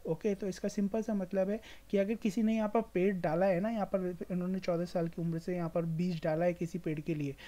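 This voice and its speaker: A woman's voice: lowish voice, steady tone, a little fast, hardly any pauses, dark tone. A woman with an Indian accent hosting an informal explainer, advising emphatically with repetition and mixing in English words. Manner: mixes English words into speech. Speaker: stock market video host. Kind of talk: informal explainer over screen share.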